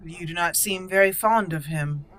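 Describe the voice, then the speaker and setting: A woman's voice: fairly low pitch, moderate pace, a bit bright, poor audio. A woman narrating and roleplaying her way through a fantasy video game as a channel host. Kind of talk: roleplay game playthrough commentary. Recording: clear background noise. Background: game ambience.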